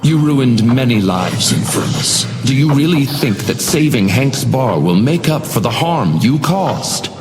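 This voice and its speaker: A man's voice: mid-range voice, lightly acted. A man voicing an uncanny, suavely menacing hotel doorman in a video game, theatrical and unctuously polite, with insinuating courtesy. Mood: grave, coaxing. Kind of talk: scripted video game character lines. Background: music.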